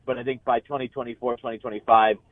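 A man giving sports analysis as a guest in a live radio interview, speaking haltingly with repeated words and frequent filler phrases. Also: mid-range voice, level tone, no echo. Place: remote call into a radio show. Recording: muffled, band-limited call-in line.

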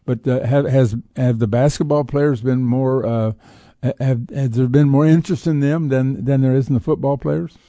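An older man co-hosting a sports talk radio show, asking questions haltingly and repeating words as he forms them. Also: mid-range voice, steady tone, warm tone, dry recording. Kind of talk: conversational radio interview questioning.

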